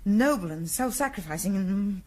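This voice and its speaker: An actress playing a tense, suspicious wife in a 1970s radio drama, pointed and insistent, repeating herself. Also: female voice, medium pitch, animated, moderate pace, mellow, lightly acted. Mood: bitter, accusing.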